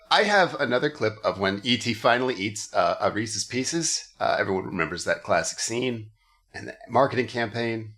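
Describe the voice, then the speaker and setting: Bright, low-voiced man riffing on a podcast. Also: expressive, moderate pace. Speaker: podcast host. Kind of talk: casual comedic podcast chat.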